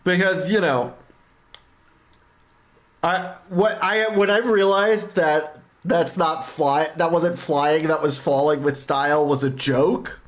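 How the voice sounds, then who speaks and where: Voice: mid-range voice, fairly even intonation, slow. A streamer chatting casually in a rambling way over a game, telling movie anecdotes. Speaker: streamer reading a game's dialogue aloud. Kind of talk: casual chat while playing a game. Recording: music behind the voice. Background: music.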